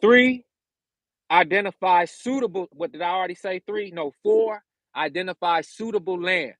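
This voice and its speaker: A man's voice: sits high, normal speed, fairly bright tone, dry recording. An American man from California talking casually on a livestream, giving slangy, conversational advice and repeating phrases for emphasis. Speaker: livestream host giving self-sufficiency advice.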